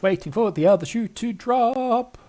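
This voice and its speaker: A man's voice: very high voice, lively intonation, moderate pace, warm tone. An older man giving casual, wry let's play commentary as he plays a video game. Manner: dry, wry. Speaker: game streamer.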